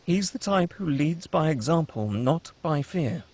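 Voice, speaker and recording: A man narrating the stage directions at a script table read, steady and descriptive. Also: fairly high pitch, unhurried, slightly rough.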